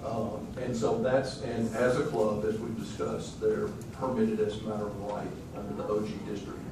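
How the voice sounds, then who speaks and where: Measured man at a podium, far off. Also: mid-range voice, noisy background. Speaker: official at the podium. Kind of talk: explaining zoning rules. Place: large community meeting room, indoors. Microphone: phone camera in the audience. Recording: echoey hall, distant phone mic.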